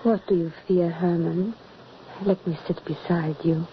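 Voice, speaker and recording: An actress playing a gentle, motherly wife in an old-time radio drama, on a muffled, hissy recording. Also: lowish voice, fairly even intonation, unhurried. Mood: soothing. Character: gentle motherly wife comforting a frightened child.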